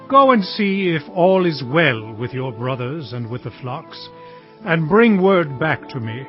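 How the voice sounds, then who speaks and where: A man's voice: on the high side, big pitch swings, measured pace. An actor playing an elderly patriarch, a stern yet loving father, with emphatic, emotive delivery in a dramatized scripture reading. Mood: fatherly instruction. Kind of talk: dramatized scripture audio acting. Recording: dull audio over background music.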